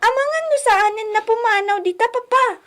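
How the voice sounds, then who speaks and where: A woman playing a small, plaintive daughter with a slow, childlike, pleading manner in a radio drama. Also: very high-pitched, a bit bright. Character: small plaintive girl, daughter pining for Mama.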